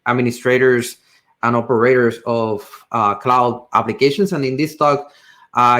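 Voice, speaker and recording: A man with a Puerto Rican accent, a native Spanish speaker, gives an informal technical presentation in halting, hesitant phrases. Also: mid-range voice, a bit bright. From Puerto Rico. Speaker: tech presenter.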